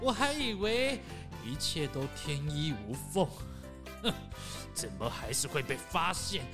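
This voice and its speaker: A woman's voice: low-pitched, sing-song, a little slow, over music, full character voice. A woman voicing a sly, cowardly fox robber in a children's audio drama, stammering and sing-song. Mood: deflated.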